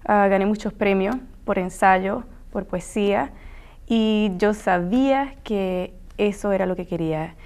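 A woman's voice: mid-range voice, a little slow, a little mellow. A woman, a guest author answering questions in a small TV studio interview, thoughtful and earnest. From Puerto Rico. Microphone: lapel mic.

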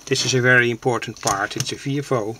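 A man with a creaky voice gives a halting, unscripted technical demonstration, explaining things plainly. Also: mid-range voice, steady tone, sharp tone, a little hiss or hum. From Netherlands. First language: Dutch. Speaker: hobbyist radio builder, presenter. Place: indoors at a home workbench. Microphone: handheld camera's built-in microphone.

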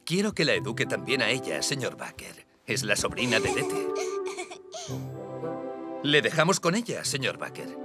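An actor playing a courteous gentleman of the house in a cartoon dub. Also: medium pitch, wildly swooping pitch, normal speed, loud music behind the voice. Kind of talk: animated series dub acting.

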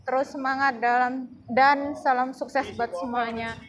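A woman's voice: high voice, unhurried, a crowd in the background. A woman chatting casually while she walks through streets and a mall, recorded on a handheld phone. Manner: chatty, relaxed commentary while walking. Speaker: vlogger. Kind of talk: casual walking vlog. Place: outdoor streets and indoor shopping mall. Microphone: handheld phone camera. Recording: phone video with loud street noise. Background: street and mall ambience.

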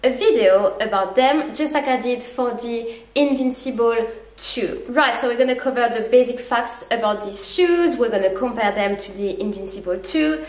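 Congested, high-voiced young woman reviewing shoes. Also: echoey room, poor audio. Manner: chatty, upbeat, explains step by step. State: congested from a cold. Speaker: running vlogger. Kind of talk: product review to camera. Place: living room at home, indoors.

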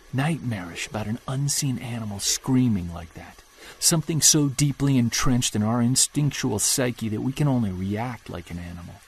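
An actor playing a Bigfoot hunter on an expedition, talking low and excited into his field recorder, with faint outdoor sound behind him. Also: slightly rough, rough recording. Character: obsessed male Bigfoot hunter alone in woods. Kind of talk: audio drama field-recorder monologue. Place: woods at night and day.

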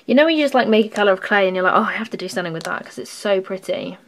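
A young woman rambles through a casual show-and-tell, full of ums, likes and little restarts. Her voice sounds a bit strained, as if she is losing it, and her pitch sits in an ordinary middle range. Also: racing, creaky. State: losing her voice, sore throat. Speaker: craft channel host. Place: indoors at a craft table.

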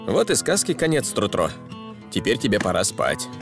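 A man voicing a patient, caring donkey father in a preschool cartoon, gently explaining things in an expressive way. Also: on the low side, normal speed, over music. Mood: gentle, reassuring. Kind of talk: preschool cartoon dub acting.

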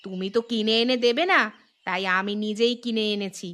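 A woman voicing a sharp-tongued village farmer's wife in a cartoon, scolding her husband in a brisk way. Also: mid-range voice, steady tone, lightly acted. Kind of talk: cartoon voice acting.

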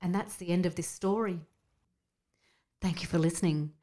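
A woman narrating a scripted children's bedtime story in an expressive storybook manner. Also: lowish voice, normal speed.

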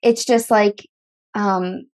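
A young woman hosting a faith podcast, chatting enthusiastically with a guest, filler words scattered throughout, into a boom mic at home. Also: fairly even intonation, slow pace, darkish tone. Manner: chatty, enthusiastic, many likes. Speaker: podcast host. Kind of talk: casual interview chat. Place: room at home.

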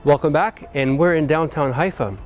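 A man's voice: a little high, normal speed, soft background music. A man in his thirties hosting a show, chatting energetically and interviewing a guest inside a church hall on a lapel mic. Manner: friendly, energetic, chatty with laughs. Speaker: TV host interviewer. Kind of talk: casual on-location interview.

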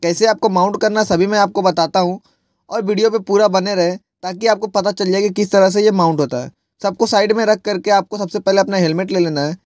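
A high-pitched man talks through a casual vlog tutorial, explaining each step in a chatty way. His voice is close and dry, caught by an earphone mic tucked inside his helmet. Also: steady tone, brisk, no room sound. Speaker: moto vlogger. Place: riding a motorcycle, then at a shop table.

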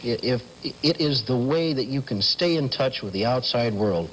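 A man's voice: medium pitch, lively intonation, normal speed, fairly bright tone. A middle-aged American man explaining as an interview guest in a television studio, on slightly noisy vintage TV sound. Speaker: telephone company specialist, guest. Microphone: lapel mic.

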